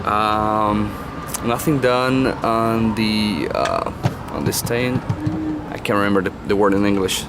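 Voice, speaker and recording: A man's voice: on the low side, slow, noisy background. A man with a Brazilian Portuguese accent, a native Portuguese speaker, casually showing his car on a handheld phone camera and often searching for words with ums and uhs. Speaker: car enthusiast vlogger. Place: around a parked car.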